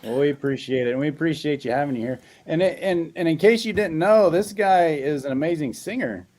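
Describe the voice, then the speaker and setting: Brief: man, a touch high-pitched, eager developer talking up his game. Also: fairly quick, darkish tone. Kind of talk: casual chat.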